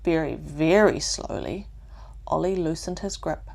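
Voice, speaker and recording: A woman with a New Zealand accent narrating a calm bedtime story for children, gently and with deliberate pauses. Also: sits low, creaky.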